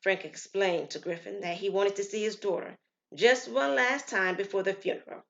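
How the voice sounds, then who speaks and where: A woman reading a dramatic scripted story as narrator, solemn and deliberate. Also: medium pitch, dull audio. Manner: solemn, deliberate, acts out dialogue. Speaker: storyteller and writer-performer.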